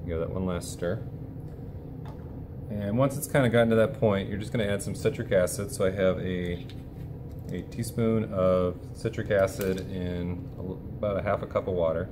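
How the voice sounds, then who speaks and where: A man with a very low voice walks through a cooking demonstration in a relaxed, explanatory way, leaning on casual fillers. He is recorded on a camera mic at an indoor bench, with a clear steady hiss of background noise under his voice. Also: moderate pace. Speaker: teacher demonstrating a recipe.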